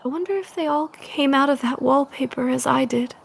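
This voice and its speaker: High-voiced woman unraveling into madness. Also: brisk, vocal fry. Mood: dreamy, obsessive. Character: confined young wife unraveling into obsessive, gleeful madness. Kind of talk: found-footage audio drama monologue, as if confiding to a tape. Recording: noisy lost-tape recording.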